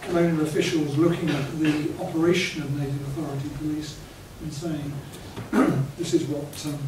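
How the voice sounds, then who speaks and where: A man on an academic panel speaking in a measured, scholarly way. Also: on the high side, little pitch movement. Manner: measured, scholarly, clears his throat. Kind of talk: academic round-table discussion. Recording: echoey hall, distant mic, background noise.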